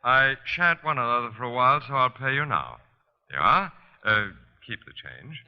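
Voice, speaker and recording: An actor playing a formal, reserved gentleman in his thirties in a 1940s radio drama. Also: mid-range voice, sing-song, clear, resonant tone, natural voice. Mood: casually inquisitive. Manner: formal, casual, measured. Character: reserved, well-dressed man of about thirty-five, smooth hotel guest. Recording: muffled old radio recording with music.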